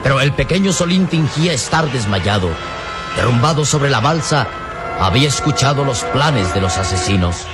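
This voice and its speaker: A man's voice: mid-range voice, expressive, normal speed. A man narrating a radio serial in a theatrical, suspenseful way. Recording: old radio serial with music underneath.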